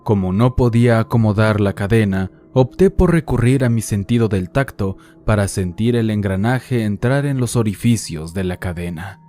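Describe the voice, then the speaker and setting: A man calmly narrating horror stories sent in by listeners, in a measured, somber reading. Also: fairly low pitch. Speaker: horror story channel narrator. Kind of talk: scripted horror narration read from listener letters. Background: faint music.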